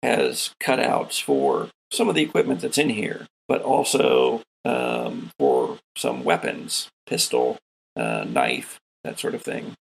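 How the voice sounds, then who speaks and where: A man with a gravelly, husky voice, narrating a tabletop role-playing game as game master in an unhurried, descriptive way. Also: very deep voice, sing-song, rough recording.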